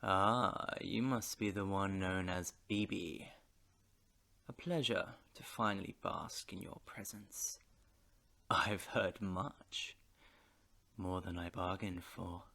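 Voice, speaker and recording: An actor playing a smug, menacing crime boss in an audio drama, drawling and mock-polite. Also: male voice, sits low, fairly even intonation, slow pace, creaky, sharp tone, heavily acted.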